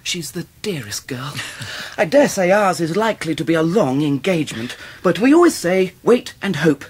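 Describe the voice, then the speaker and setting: Eager young english law student in a radio play, husky, bouncy pitch, modest and chuckly. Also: male voice, very animated, moderate pace, heavily acted. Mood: fond, confiding. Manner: chuckling, earnest, guileless. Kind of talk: radio drama acting.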